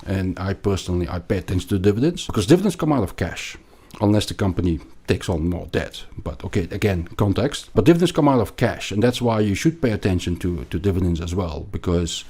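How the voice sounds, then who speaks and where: A man with a Belgian accent explaining things as an interview guest, haltingly and emphatically, often repeating words. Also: deep voice, lively intonation, mellow, slightly rough. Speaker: podcast guest, investment analyst.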